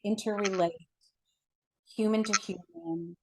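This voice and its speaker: A woman's voice: medium pitch, fairly even intonation, a little slow, noisy background. A middle-aged woman giving conversational spiritual teaching as an interview guest, earnest and emphatic, explaining with everyday examples.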